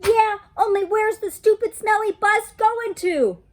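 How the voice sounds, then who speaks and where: A woman voicing an outspoken, stubborn five-year-old girl in a children's storybook, with a blunt, childish, expressive delivery. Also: very high voice, measured pace, pitched above the performer's own voice. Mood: insistent, annoyed. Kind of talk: children's storybook read aloud.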